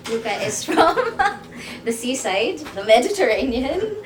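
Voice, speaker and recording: A woman's voice: on the high side, big pitch swings, measured pace. A woman from the Philippines, a native Filipino speaker, chats casually and light-heartedly to the audience as a singer between songs at a live indoor show. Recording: echoey live tavern performance, guitar music behind.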